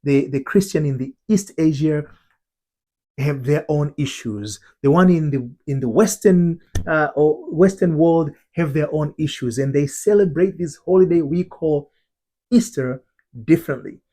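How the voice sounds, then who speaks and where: A middle-aged man from Tanzania teaches his viewers in an earnest, deliberate way. He often repeats and restarts his words. His voice is husky and mellow, pitched a little high for a man. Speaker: identity transformation coach. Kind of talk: teaching talk to camera.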